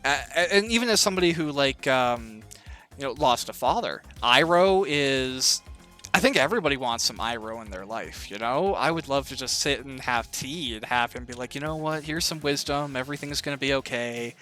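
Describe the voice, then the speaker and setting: A man with a bright, forward voice at medium pitch hosts a casual interview. He talks in an encouraging, reflective way, with music playing behind him. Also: animated, moderate pace. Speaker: interview host and author.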